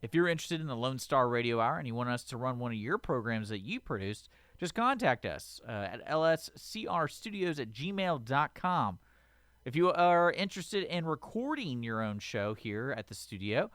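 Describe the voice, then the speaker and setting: A man, casually reading community radio station announcements in a relaxed way, with fillers. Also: mid-range voice, moderate pace, no echo.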